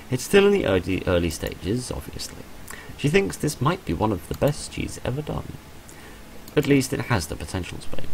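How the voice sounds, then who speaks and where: A man's voice: mid-range voice, vocal fry, slight background noise, rough recording. A man hosting a visual novel playthrough, reading the story text aloud and adding his own casual asides.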